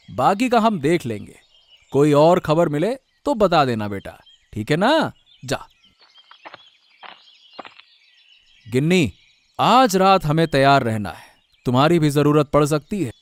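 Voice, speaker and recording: Animated, high-pitched protective village uncle played by a man. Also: measured pace, no room sound. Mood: reassuring. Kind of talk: Hindi cartoon story dialogue.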